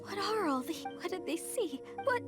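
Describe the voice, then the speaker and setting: Very high, distraught young woman. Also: animated, moderate pace. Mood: shocked, denying. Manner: agitated, stammering, defiant. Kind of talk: English video game voice acting. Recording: studio voice over game music.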